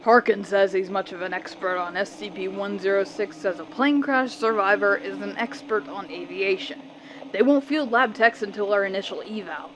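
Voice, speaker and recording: A woman voicing a sardonic male agent with a rusty, creaking voice in a horror radio play. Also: medium pitch, moderate pace, background noise, close to an everyday voice. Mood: dry, sardonic.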